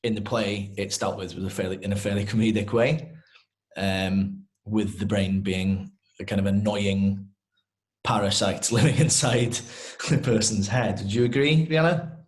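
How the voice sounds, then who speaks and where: A man with a Welsh accent speaking in a halting, hesitant way as he summarises in an informal Q&A. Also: lowish voice, steady tone. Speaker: interviewer and interpreter.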